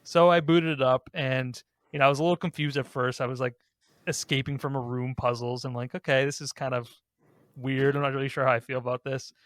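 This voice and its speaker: A man hosts a relaxed podcast panel in an informal, conversational way. His voice sits at a middling pitch and is recorded dry, with very little room sound. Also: a little fast, no echo. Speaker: host of a ranking podcast. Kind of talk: casual panel discussion.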